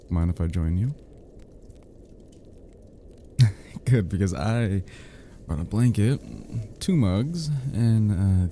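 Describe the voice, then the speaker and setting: A man playing a cozy, teasing young male friend who is sweetly smitten, in an intimate ASMR roleplay, murmuring softly and hesitantly with chuckles. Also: deep voice, a little hiss or hum, exaggerated voice. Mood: playful, welcoming.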